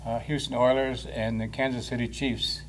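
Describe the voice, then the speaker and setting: A man's voice: medium pitch, little pitch movement, normal speed, slight background noise. A man in his eighties, the instructor's husband, making brief matter-of-fact remarks with dry humor. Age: 80s. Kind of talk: casual interview chat.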